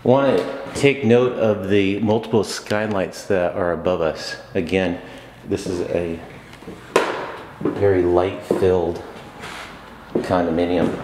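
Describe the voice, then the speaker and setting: A man's voice: deep voice, steady tone, slow pace, long pauses. A man walking through an empty apartment on camera as a rental agent, narrating the tour with frequent fillers and restarts. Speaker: rental agent giving a walkthrough. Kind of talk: unscripted property tour narration. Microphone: camera mic on a handheld camera. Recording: echoey empty rooms with background noise.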